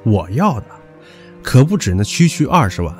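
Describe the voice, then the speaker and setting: An actor playing a hot-tempered, possessive young rich heir in an audio drama, brash and taunting. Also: male voice, mid-range voice, big pitch swings, moderate pace, darkish tone, soft background music, natural voice. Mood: cocky, menacing. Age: young adult. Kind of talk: Chinese audio drama acting.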